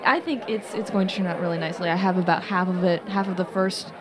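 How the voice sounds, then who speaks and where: A woman's voice: fairly low pitch, steady tone, quick pace. Female co-host, busy chatter behind her. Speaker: podcast co-host. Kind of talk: casual chat.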